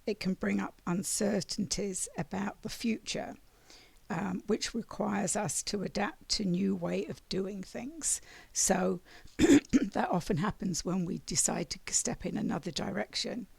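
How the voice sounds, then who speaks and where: A raspy-voiced woman giving a spiritual monthly oracle card reading, meandering and often clearing her throat. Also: medium pitch, slow, no echo.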